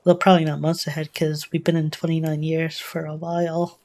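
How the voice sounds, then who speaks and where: Female reaction host, notably low register, flat delivery, casual and tentative, reasoning things out as she goes. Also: a little fast. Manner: musing, tentative, thinking aloud. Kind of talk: casual off-the-cuff reaction commentary.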